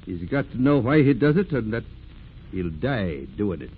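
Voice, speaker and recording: A man acting a commanding, deliberate ship's skipper with authoritative, dramatic delivery in a 1940s radio drama. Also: lowish voice, sing-song. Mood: grave, rousing. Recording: muffled, noisy vintage radio drama recording.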